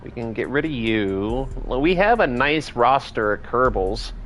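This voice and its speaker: A man's voice: mid-range voice, animated, measured pace, fairly bright tone, slight background noise. A man in his thirties giving casual, rambling commentary while playing a space game, thinking aloud as he builds. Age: 30s. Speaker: game streamer.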